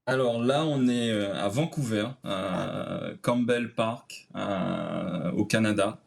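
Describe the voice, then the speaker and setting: A man from Réunion talks in a low, gravelly voice with a Réunionese lilt. He speaks casually and haltingly, with lots of "euh" between his words. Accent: Réunionese French. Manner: hesitant, many "euh" fillers, creole-tinged phrasing. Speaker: guest. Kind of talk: casual interview chat.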